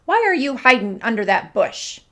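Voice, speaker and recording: A woman voicing a small, fierce, boastful male mouse in a children's storybook, loud and emphatic. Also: high voice, big pitch swings, a little slow, heavily acted. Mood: fierce, scolding. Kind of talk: children's storybook read-aloud.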